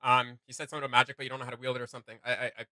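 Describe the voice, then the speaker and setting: A man's voice: mid-range voice, level tone, rapid-fire, bright, forceful tone, no room sound. A man hosting a reaction video, thinking aloud in casual, tentative commentary.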